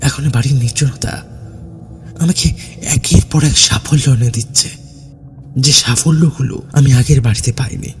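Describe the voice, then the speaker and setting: A man's voice: mid-range voice, measured pace, long pauses, faint music behind, lightly acted. A man voicing a self-assured, obsessed young man who explains earnestly and persuasively in an audio horror story. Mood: fervent.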